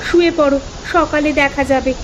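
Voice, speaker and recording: Woman acting a high-voiced, fretful village wife. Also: fairly even intonation, a little fast, muffled recording, background noise, lightly acted. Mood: concerned. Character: practical, concerned village wife and mother. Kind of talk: cartoon story dialogue. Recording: music clearly behind the voice.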